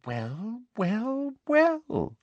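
A storyteller voicing a prim, cruel, excitable middle-aged female schoolteacher villain with breathy, self-justifying menace. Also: medium pitch, unhurried, no room sound, dull audio, voice pushed up. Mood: eager triumph.

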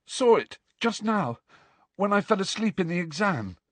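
A man voicing a panicked, angry teenage boy wizard in an audiobook, loud, urgent and insistent. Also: sits high, very animated, normal speed, dry recording, pitched above the performer's own voice, exaggerated voice. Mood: shaken, urgent. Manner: loud, urgent, often shouting.

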